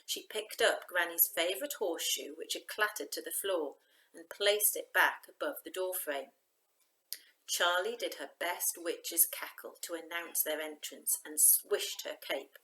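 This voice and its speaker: A woman reading a children's novel aloud in an expressive, emphatic storytelling style. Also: lowish voice, measured pace, low-quality recording.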